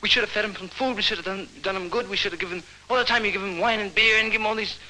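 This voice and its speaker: A man's voice: sits high, racing, pushed and bright, voice pushed up, rough recording. A man voicing an exasperated, scolding woman who complains in a nagging, repetitive way in a told story. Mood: nagging, aggrieved. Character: exasperated, scolding woman fed up with beatnik guests.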